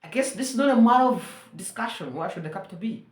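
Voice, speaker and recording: A woman's voice: medium pitch, expressive, fast, reverberant. A woman from Uganda whose first language is Luganda, speaking in an earnest, rallying way and repeating phrases for emphasis. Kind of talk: persuasive monologue to camera.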